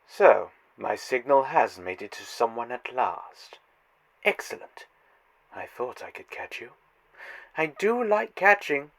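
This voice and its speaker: A man's voice: mid-range voice, big pitch swings, takes it slowly, gravelly, exaggerated voice, low-quality recording. A man voicing a taunting, godlike male trickster in a fan audio drama, theatrical and mocking. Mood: teasing. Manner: theatrical, taunting, swinging loud to hushed.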